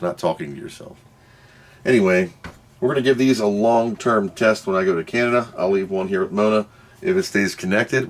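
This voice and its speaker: A man with a fairly low voice hosts a casual product test. He talks off the cuff, thinking aloud and grumbling as he works things out. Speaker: host of a product test video. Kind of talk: casual unscripted product review.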